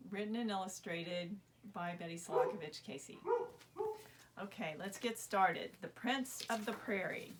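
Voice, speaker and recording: A woman reading her own children's book aloud as a measured, expressive storyteller. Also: mid-range voice, a little hiss or hum. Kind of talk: storybook narration read aloud.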